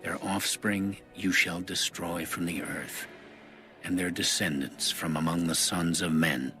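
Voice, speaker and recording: A man with a very low yet forceful, bright voice reads scripture as a solemn narrator. Music plays behind his measured, scripted delivery. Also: fairly even intonation. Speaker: narrator of an audio Bible.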